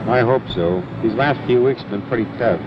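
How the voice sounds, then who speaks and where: A man's voice: medium pitch, brisk, close to an everyday voice. An actor playing a polite, meek undercover agent passing as a townsman, deferential and hesitant, in 1940s film style. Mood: casual. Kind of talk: 1940s training film acting. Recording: muffled vintage film, loud background noise.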